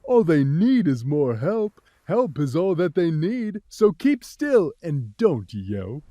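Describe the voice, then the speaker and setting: A woman voicing a sly, boastful cat magician in a read-aloud storybook, deep and showy. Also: very animated, slow, no room sound, clean studio sound, full character voice. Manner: deep, loud, showy and sure of himself. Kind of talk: storybook reading.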